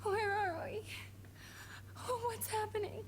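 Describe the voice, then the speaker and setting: A woman's voice: extremely high pitch, steady tone, takes it slowly, a little hiss or hum. An actress playing a terrified, drugged teenage girl held captive in a video game, crying and pleading. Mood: frightened, tearful.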